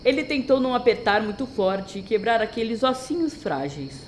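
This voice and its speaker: A woman's voice: a little high, sing-song, moderate pace, slight background noise. A woman narrating a scripted audio drama as its storyteller.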